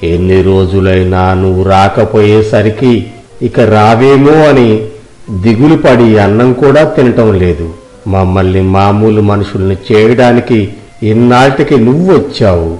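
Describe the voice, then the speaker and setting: A man playing a stately older king and father in a storybook tale, speaking formally and solemnly with deep gratitude. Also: normal speed, darkish tone, roomy sound, natural voice. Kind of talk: dramatic children's storybook acting.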